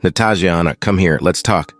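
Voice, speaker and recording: A man's voice: deep voice, normal speed, dry recording, faint music behind. A man acting serious, subdued male roles in a Tagalog romance audio drama. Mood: grave, gentle.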